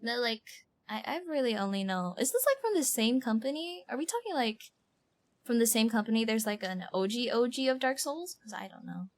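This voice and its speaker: High-voiced woman streaming games casually. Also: big pitch swings, brisk. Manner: rambling, slangy, informal. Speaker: game streamer. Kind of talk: casual livestream chat and game commentary. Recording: faint background noise and low rumble.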